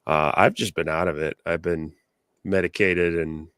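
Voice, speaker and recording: Co-host, male, hoarse, rambling with fillers, low and resonant voice. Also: steady tone, moderate pace, a bit bright, no room sound, clean studio sound. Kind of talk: casual live-stream chat.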